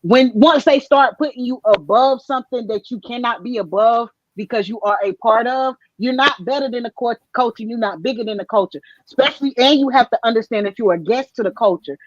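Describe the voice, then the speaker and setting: A woman's voice: a little high, a little fast, few pauses, dry recording. Emphatic woman on a muffled dry call. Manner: emphatic, repeats herself, slangy. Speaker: panelist. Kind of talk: casual debate chat.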